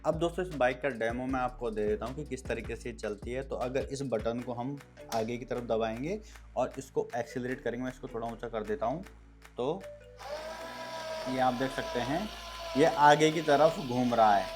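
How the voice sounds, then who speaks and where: A man's voice: medium pitch, moderate pace, over music. A man giving a casual, step-by-step product review, addressing viewers as friends. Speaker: product reviewer and video host.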